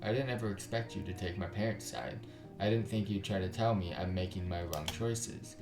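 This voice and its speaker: A man's voice: sits low, moderate pace. A man voicing a guarded, sardonic young woman with emotional delivery in a visual novel. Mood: hurt. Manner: snarky, emotional. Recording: roomy echo over guitar music.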